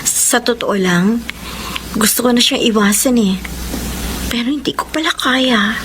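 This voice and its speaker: A woman playing a soft-hearted, lovelorn, apologetic young woman in a radio drama, earnest and hesitant. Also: a little high, measured pace, noisy background, natural voice. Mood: wistful. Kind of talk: Filipino radio drama acting.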